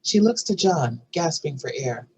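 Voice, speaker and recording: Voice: mid-range voice, expressive, unhurried, husky, low-quality recording. A narrator reading script stage directions in a scripted narration style. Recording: faint background noise.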